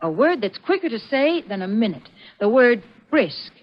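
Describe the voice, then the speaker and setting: 40s radio ad lady, highish voice that swoops around, muffled old recording. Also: very animated, moderate pace. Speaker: commercial announcer. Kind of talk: 1940s radio advertising pitch. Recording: dull vintage 1940s radio recording.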